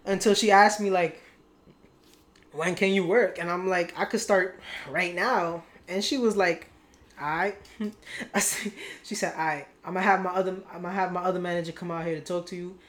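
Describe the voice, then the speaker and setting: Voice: medium pitch, fairly even intonation, moderate pace, fairly bright tone. A young adult vlogger telling a story to viewers in a candid, rambling way, full of slang and swearing.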